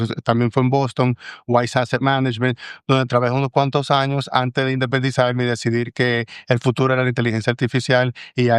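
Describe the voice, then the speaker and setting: A Dominican man in his thirties explains patiently as a guest, pausing now and then to find the next word. His voice is smooth and clear, with very little rise and fall in pitch. It is recorded dry and close, with no room sound. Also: dry recording. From Dominican Republic. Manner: measured, explanatory, frequent "eh" pauses. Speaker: guest, AI governance expert. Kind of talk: interview answers.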